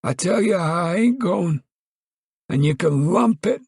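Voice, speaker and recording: A man voicing a stubborn, cantankerous old male farmer with a drawl who cusses defiantly in a high, shrill voice. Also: takes it slowly, vocal fry, darkish tone, slightly rough, dry recording, studio quality, heavily acted. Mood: angry.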